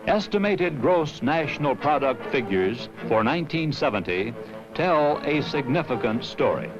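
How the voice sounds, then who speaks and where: An American man narrating a 1960s promotional film in a measured, solemn, emphatic way. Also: fairly high pitch. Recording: dull vintage film soundtrack. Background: music.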